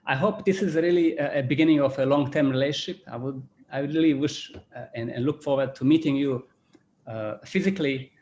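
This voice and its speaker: Croatian-accented man, halting and repetitive. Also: mid-range voice, a little mellow, a little hiss or hum. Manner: halting, repeats words, many "you know" fillers. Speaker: business school chairman. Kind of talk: closing remarks at an online panel.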